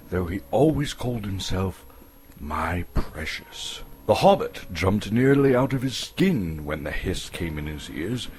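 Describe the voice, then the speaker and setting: Deep, animated man narrating a story. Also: slight background noise. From Canada. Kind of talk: dramatic scripted narration.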